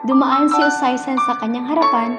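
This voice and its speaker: High female narrator, scripted radio drama story, big reverb, music really loud. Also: fairly even intonation, a little fast.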